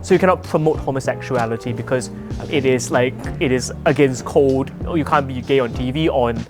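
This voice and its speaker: A comedian from Singapore, a man, chatting as an interview guest with a quick, jokey manner and deadpan asides, recorded outdoors on a rooftop. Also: medium pitch, over music. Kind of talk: casual interview chat.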